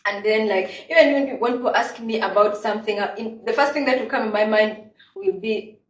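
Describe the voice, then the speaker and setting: A woman's voice: on the high side, brisk, muffled recording. A woman hosting a casual interview, chatting and often filling with "like". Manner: chatty, leans on "like" fillers. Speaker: podcast host. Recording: echoey, distant mic.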